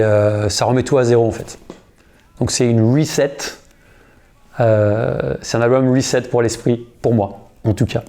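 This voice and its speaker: A guitarist in his thirties tells anecdotes in a loose, slangy way, pausing and restarting often. His voice is mellow and dark, at an ordinary middle pitch. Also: vocal fry. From United States. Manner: relaxed, slangy, many fillers and restarts. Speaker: guitarist and singer. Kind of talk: casual interview storytelling.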